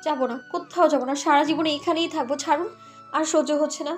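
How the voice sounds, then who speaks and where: Pleading young heroine played by a woman, very high voice, weary, romantic audio drama over music. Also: fairly even intonation, fairly quick, close to an everyday voice.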